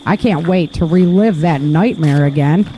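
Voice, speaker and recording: A woman's voice: low voice, normal speed, dark tone, a little hiss or hum, lightly acted. A woman playing a spirited wife and mother who is a survivor in an audio drama. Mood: wry, annoyed.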